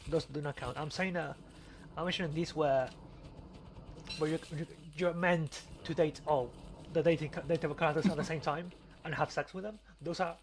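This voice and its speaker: Rambling, stammering man, higher-pitched, noisy recording. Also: fairly even intonation, moderate pace. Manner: rambling, stammering, repeats words. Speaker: podcast host. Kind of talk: casual chat over gameplay. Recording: clearly noisy background.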